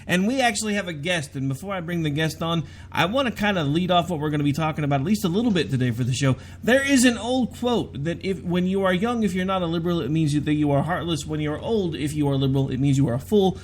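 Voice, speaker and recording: A man hosts a live online radio talk show, talking fast in a fairly high voice. He opens with announcer energy. A faint hum sits under his voice. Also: expressive. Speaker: radio host. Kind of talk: upbeat show opening.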